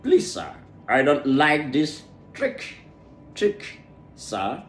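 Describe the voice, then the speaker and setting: A man from Nigeria with an Igbo accent, a native Igbo speaker, reads a tongue-twister storybook aloud, haltingly, stumbling over and repeating words. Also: fairly high pitch, wildly swooping pitch, a bit bright, a little hiss or hum. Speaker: English pronunciation learner. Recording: echoey room, music behind.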